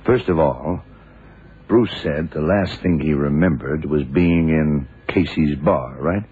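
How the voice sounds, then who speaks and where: An actor playing a blind detective in a 1970s radio drama, a gruff, commanding mentor who explains things deliberately with authority. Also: male voice, extremely low pitch, exaggerated voice. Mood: explaining, deliberate. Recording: tinny, narrowband old radio recording.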